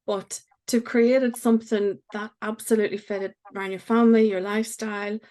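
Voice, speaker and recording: A woman's voice: medium pitch, flat delivery, normal speed, mellow. Enthusiastic woman podcast host. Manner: enthusiastic, affirming, laughs often. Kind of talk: conversational interview.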